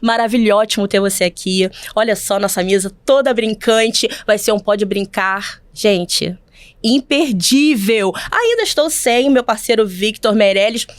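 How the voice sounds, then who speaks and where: A woman hosting a podcast from a studio, speaking with bright enthusiasm and exclamations. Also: medium pitch, lively intonation, measured pace, no echo. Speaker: podcast host. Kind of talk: podcast interview. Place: studio in Rio de Janeiro.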